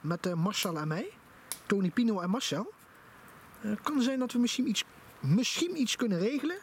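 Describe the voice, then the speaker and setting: A man's voice: high voice, lively intonation, moderate pace. A man giving enthusiastic, casual vlog commentary outdoors, recorded on a handheld camera. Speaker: vlogger. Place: outdoors in a forest at night by a campfire. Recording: noisy outdoor camera audio.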